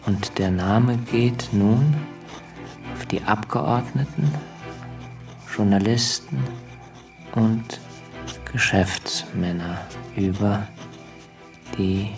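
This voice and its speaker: A man narrates a radio drama very slowly in a low, gravelly voice. He is deliberate, breaking sentences into short phrases, with music behind him.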